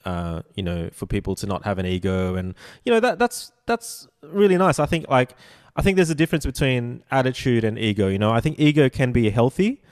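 A man in his forties hosting a podcast interview, enthusiastic and halting, full of stumbles and "like". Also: mid-range voice, very animated, smooth, no room sound, clean studio sound. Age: 40s. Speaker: podcast host. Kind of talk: casual interview chat.

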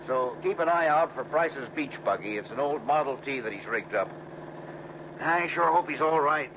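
An American actor playing an excitable, earnest male sidekick in an old radio adventure drama. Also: medium pitch, moderate pace, close to an everyday voice. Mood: eager. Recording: muffled, noisy vintage radio recording.

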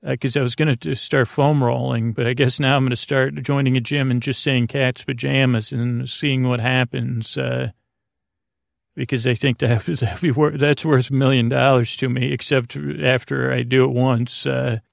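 Creaky-voiced man rambling softly to sleep. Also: medium pitch, flat delivery, no room sound, clean studio sound. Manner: meandering, halting, stammering, repeats himself; soothing, friendly. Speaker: podcast host. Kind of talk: rambling bedtime monologue.

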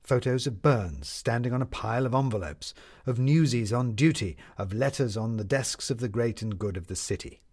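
Male narrator for a scripted tale: average pitch, measured and lyrical phrasing. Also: a little mellow.

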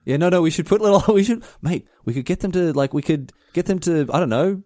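A man's voice: a little high, fast talker, darkish tone. A man with an Australian accent co-hosting a podcast, joking and sarcastic in casual banter. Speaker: podcast co-host.

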